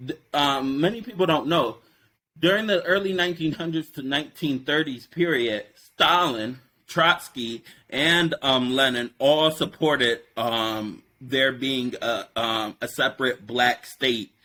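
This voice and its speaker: A man hosting a casual political discussion, talking in a rambling, animated way with many fillers. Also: medium pitch, unhurried, low-quality recording. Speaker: podcast host.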